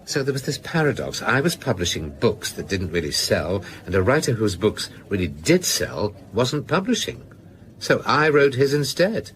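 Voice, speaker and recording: An actor in a radio drama playing a rueful, sardonic novelist who confesses in a measured, hesitant way. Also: male voice, lowish voice, very animated, a bit bright, a little hiss or hum. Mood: wry, resigned.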